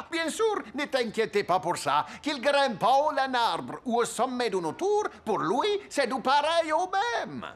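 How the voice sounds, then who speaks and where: An actor playing an excitable, helpful restaurant chef in a cartoon, with an effusive, exclamatory manner. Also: male voice, very high-pitched, a little slow, hardly any pauses, heavily acted. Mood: reassuring.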